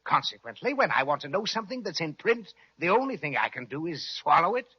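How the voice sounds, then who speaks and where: An actor playing a vain, jolly crocodile with grandiose, flowery, drawn-out speech, in the style of an old children's radio serial. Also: male voice, a little high, very animated, husky. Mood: boastful. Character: vain, jolly, theatrical crocodile who fancies himself a singer. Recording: dull, lo-fi old radio recording.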